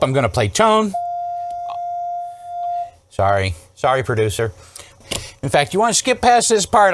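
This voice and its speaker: A man's voice: mid-range voice, a bit bright. A man hosting an electronics repair video, talking casually and emphatically through troubleshooting, with rhetorical questions and frequent check-ins. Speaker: host of an electronics repair series. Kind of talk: casual hands-on troubleshooting demonstration.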